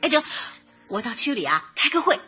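High-pitched, eager young community director. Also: female voice, sing-song, a little fast, pushed and bright, breathy edge, exaggerated voice. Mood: rousing, persuasive. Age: young adult. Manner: eager, coaxing, speechifying in slogans. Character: earnest, persuasive young community director, cheerful and tactful. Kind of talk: radio drama acting, light comedy. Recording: dull lo-fi audio with music behind.

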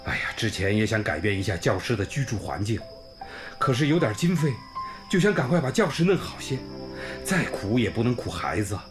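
A man's voice: lowish voice, sing-song, brisk. An actor playing a kindly old village school principal in a radio drama, effusive and homely, sighing and exclaiming as he talks. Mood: worried, wistful. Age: older, around sixties. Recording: music bed under the voice.

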